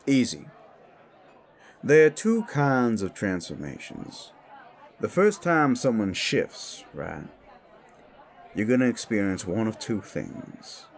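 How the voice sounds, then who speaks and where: A low, creaky-voiced werewolf mentor, acted by a man in an audio drama. He explains things slowly and wryly, laid-back and streetwise, with faint crowd murmur behind him. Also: very animated, exaggerated voice. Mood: measured, wry. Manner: measured, wry, explanatory.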